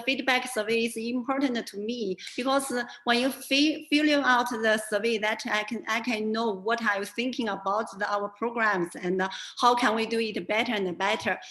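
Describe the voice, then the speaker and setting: A woman's voice: fairly high pitch, a bit bright. Female host, hesitant and friendly-paced, echoey call audio. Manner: hesitant, with many fillers and light laughs. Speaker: forum organizer and host. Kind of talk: closing remarks on a video call.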